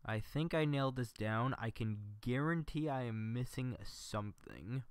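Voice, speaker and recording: A man's voice: medium pitch, lively intonation, a little slow, clean tone, no room sound. A man livestreaming casual commentary on his screen work, thinking aloud and muttering to himself with mild exasperation.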